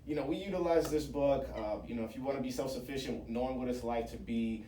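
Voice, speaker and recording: Male interview guest, conversational founder type; dark, soft-edged tone, roomy sound with faint noise. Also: mid-range voice, fast, distant mic. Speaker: interview guest, founder of a job-training organisation. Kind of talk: radio interview, explaining programmes.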